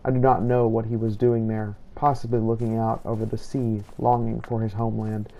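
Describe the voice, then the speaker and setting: A man voicing a solemn, righteous vampire-hunting inquisitor, narrating his hunt in formal, measured, old-fashioned phrasing for a game roleplay. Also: on the low side, level tone, mellow, lo-fi sound, close to an everyday voice. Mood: brooding, measured. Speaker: video game player narrating in character. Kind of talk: scripted first-person roleplay narration.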